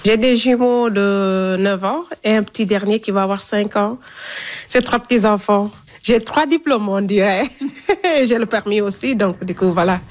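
Young woman, mid-pitched voice, casual interview testimony, conversational French delivery. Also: normal speed. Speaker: interviewee in a radio documentary.

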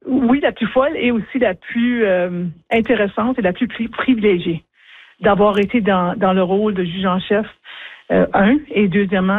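A middle-aged woman from Northern Ontario with a Franco-Ontarian accent, giving spontaneous interview answers in a halting way, often repeating words. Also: mid-range voice, no room sound, phone-quality sound. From Northern Ontario, Canada. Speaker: interview guest, former chief justice.

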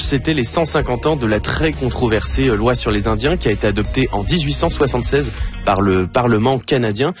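A man from France with a French accent, hosting a radio show in casual talk, pausing often with fillers and restarts. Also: mid-range voice. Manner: hesitant, with frequent fillers and restarts. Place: radio studio.

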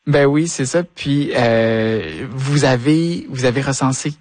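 A man's voice: mid-range voice, darkish tone, dry recording. Dry-sounding Quebec man interviewing. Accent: Quebec French. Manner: informal, halting with repeated words. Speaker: radio interviewer. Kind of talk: conversational interview.

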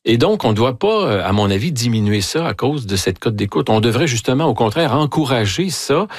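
A man's voice: mid-range voice, lively intonation, fairly quick, dry recording, studio quality. A man with a Québécois accent, a guest in a casual conversation who explains his opinions thoughtfully and at length.

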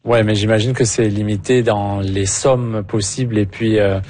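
A man interviewing a guest, asking measured, probing follow-up questions. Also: on the low side, fairly even intonation, a little mellow. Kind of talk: radio interview.

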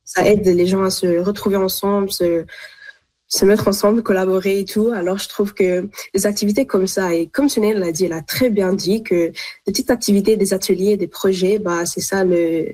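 A teenage girl from Canada, a high school student guest, speaking earnestly with frequent restarts. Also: fairly even intonation, moderate pace, warm tone. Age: teens. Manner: earnest, many restarts and fillers.

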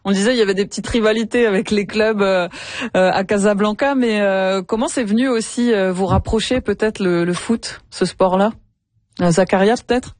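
A man's voice: high voice, fairly even intonation, moderate pace, no echo. A man hosting an interview, asking long questions with many fillers. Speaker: host. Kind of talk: radio interview.

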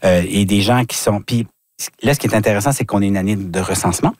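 A man from New Brunswick, a guest commentator in a radio interview, talking in a halting way with many fillers and restarts. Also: fairly low pitch, darkish tone, no room sound, studio quality. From New Brunswick, Canada.

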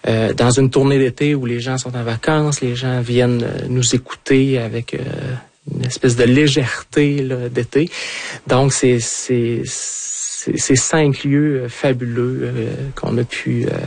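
A man's voice: mid-range voice, level tone, measured pace, darkish tone, no echo. A middle-aged man with a Quebec French accent, answering in a radio interview as a trumpeter and conductor, hesitant with many fillers and restarts.

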